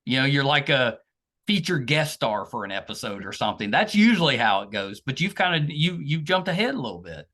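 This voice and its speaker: Older American male podcast host, lively pitch movement, bright delivery, chummy and encouraging interviewer. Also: a little fast. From American South. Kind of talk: casual chatty interview.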